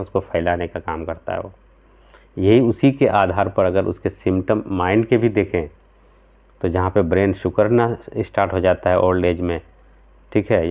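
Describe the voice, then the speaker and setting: Low, flat-voiced man on a tinny line. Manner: earnest, explanatory, gesturing with both hands, frequent "theek hai" check-ins. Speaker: homeopathic practitioner. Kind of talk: explanatory talk to camera. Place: small room at home, indoors. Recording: tinny, phone-like sound.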